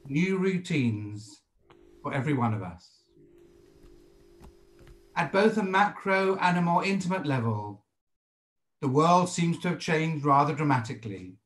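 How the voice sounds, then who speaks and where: A British man in his sixties, a rabbi giving a sermon in a measured, formal and deliberate way over a video call from a home study. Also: a little high, roomy sound, poor audio. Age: 60s. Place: indoors, home study with bookshelves. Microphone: computer microphone on a video call.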